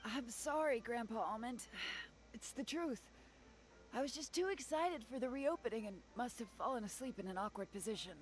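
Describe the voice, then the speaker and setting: A woman's voice: fairly high pitch, moderate pace, slight background noise, lightly acted. A woman voicing a cheerful young female llama-like bartender in a game, polite and chatty with a touch of self-pity. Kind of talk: game character dialogue.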